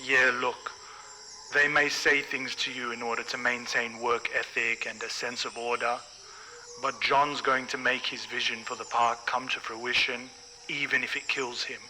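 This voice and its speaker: A man playing a supportive colleague who talks in a calm, reassuring and measured way. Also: mid-range voice, a little hiss or hum, rough recording.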